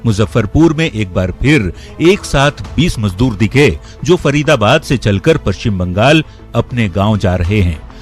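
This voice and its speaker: Male news narrator, scripted voice-over, lively intonation, mid-range pitch, light music underneath. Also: unhurried. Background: faint background music.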